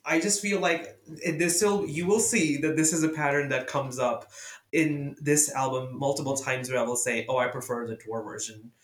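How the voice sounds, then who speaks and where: A man's voice: medium pitch, moderate pace. A young man whose first language is Urdu or Hindi, hosting a casual music podcast and talking with enthusiasm and lots of fillers. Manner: enthusiastic, lively, many fillers. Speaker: podcast host. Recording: echoey room.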